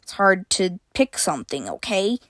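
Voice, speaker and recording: A woman's voice: medium pitch, lively intonation, normal speed, dry recording, studio quality, natural voice. A woman voicing a small, timid male satyr shapeshifter who whines and pleads. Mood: pleading. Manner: whiny, pleading, mumbling.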